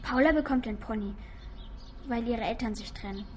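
A woman's voice: a little high, normal speed, darkish tone, slight background noise, close to an everyday voice. A female performer playing an eager young schoolgirl in naturalistic radio drama dialogue. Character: eager young schoolgirl talking to her parents.

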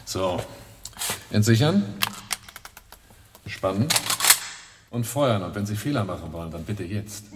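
An actor playing a calm, dryly menacing instructor in a radio drama, speaking in a controlled, matter-of-fact way. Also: male voice, fairly low pitch, unhurried, long pauses, heavy background noise. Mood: cool, instructing. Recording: very reverberant room, distant microphone.

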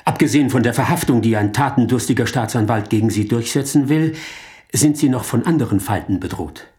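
A man's voice: medium pitch, fairly quick, mellow, lightly acted. Casting: male actor as urbane advocate used to chairing meetings, medium register, insistent yet polite, classic radio drama. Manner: courteous but insistent questioner. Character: worldly, commanding male lawyer chairing the meeting. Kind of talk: German radio drama acting.

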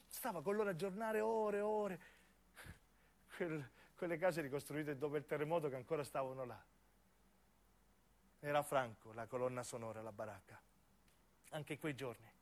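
A man with a high voice and a southern Italian accent tells a story in the first person. His delivery is theatrical and dramatic, in the manner of a solo radio-drama actor. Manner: dramatic, acts out dialogue.

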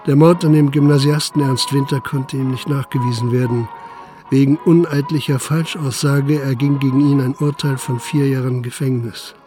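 An actor playing a principled, dogged Prussian police commissioner in a German radio drama, formal and articulate. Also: male voice, medium pitch, fairly even intonation, normal speed, over music, natural voice. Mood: sober, resigned. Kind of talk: German radio drama acting, dialogue and first-person narration.

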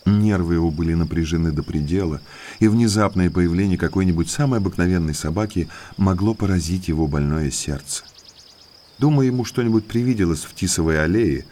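Man acting country gentleman naturalist, low male voice, polite, talkative, insinuating, audio drama, faint noise behind. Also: fairly quick, natural voice. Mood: confiding. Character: affable, inquisitive country naturalist gentleman, thirties, slyly probing.

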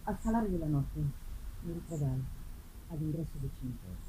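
A woman giving an intense, halting dramatic reading of a gothic novel, repeating words for effect and swinging between hushed and loud delivery. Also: low-pitched, expressive, low-quality recording. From Italy. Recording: live festival recording with faint noise. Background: live musical accompaniment.

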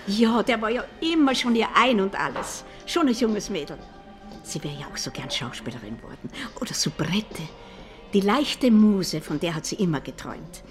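A woman's voice: mid-range voice, big pitch swings, moderate pace, faint music behind, natural voice. A woman acting as a chatty, sentimental grandmother with an Austrian accent in a radio drama. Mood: wistful. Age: older.

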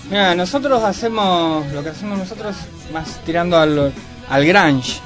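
A man, a band's bassist, talking haltingly as a radio guest with many fillers. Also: lively intonation, over music. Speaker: band bassist and singer, guest. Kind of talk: casual radio interview. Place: radio studio.

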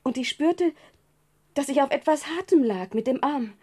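A young woman, fragile and shaken in a radio crime drama. Her high, soft-toned voice moves haltingly and breathlessly, tender and wounded. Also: animated. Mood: distraught, halting. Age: young adult. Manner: halting, breathless recounting, trailing into whispers.

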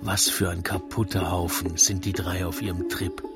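A man's voice: low-pitched, level tone, moderate pace, creaky. A man narrating a comic rhymed story in a wry, theatrical storytelling manner. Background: music.

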